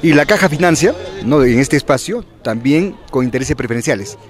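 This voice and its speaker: A man's voice: mid-range voice, animated, brisk, soft background music. A man, interviewed outdoors at a busy fair and speaking into a handheld reporter mic. He talks fluently and promotionally, with many fillers and tag questions. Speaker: bank executive interviewed. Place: outdoor fairground, busy event. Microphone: handheld reporter microphone.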